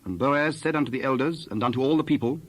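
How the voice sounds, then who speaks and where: A man's voice: mid-range voice, quick pace, poor audio. A man narrating a dramatized Bible story in archaic English, reading from a script.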